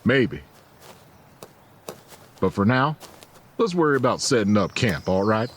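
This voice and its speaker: Male, folksy reassuring trail leader for audio drama; very animated pitch, ambient noise behind. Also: unhurried. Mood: easygoing. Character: Silver, gentle, folksy, patient man leading weary companions.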